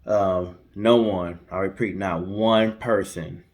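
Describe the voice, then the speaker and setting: Low-voiced man telling a story. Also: steady tone, slow pace, darkish tone. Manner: informal, colloquial asides. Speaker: co-host of a storytime guessing-game show. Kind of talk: storytelling from notes with casual banter.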